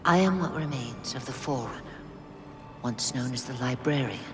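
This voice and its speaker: A woman's voice: rumbling low, lively intonation, normal speed. A woman voicing a solemn, wise, ancient alien spirit in a video game, stately and formal. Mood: grave. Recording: clear music behind.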